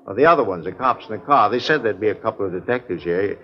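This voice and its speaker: A man's voice: lowish voice, lively intonation, quick pace. An American man playing a talkative, slightly testy supermarket manager in a 1950s radio drama, rambling in a folksy, naturalistic way. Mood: chatty. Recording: muffled vintage radio recording.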